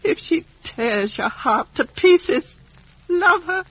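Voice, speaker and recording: A woman's voice: extremely high pitch, animated, slow, no echo, exaggerated voice. An actress playing a bitter, vengeful old lady in an old radio drama, commanding and impassioned. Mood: frenzied urging. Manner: imperious, impassioned, repetitive commands. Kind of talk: 1950 radio drama acting. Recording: muffled vintage radio broadcast recording.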